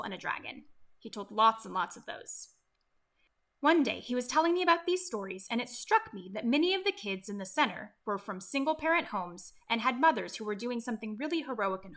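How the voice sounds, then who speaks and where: A woman narrating a scripted read-along for children as an expressive storyteller. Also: fairly high pitch.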